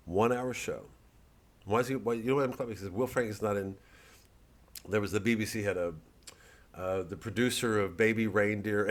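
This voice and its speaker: A low-voiced man talks like a comedian working off the cuff. He rambles and cuts himself short as he plugs his show. His delivery is sardonic and casually profane. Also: fairly bright tone. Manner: rambling, self-interrupting, acting out bits. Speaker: comedian promoting a live show. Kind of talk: off-the-cuff comic monologue.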